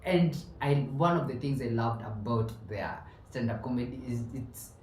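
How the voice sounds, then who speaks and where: A man's voice: mid-range voice, expressive, moderate pace, darkish tone, distant mic, a little hiss or hum. Young Kenyan man chatting off-mic. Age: young adult. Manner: mixes English and Swahili slang, chatty with many restarts. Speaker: podcast host. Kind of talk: casual chat. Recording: roomy, off-mic with faint ambience.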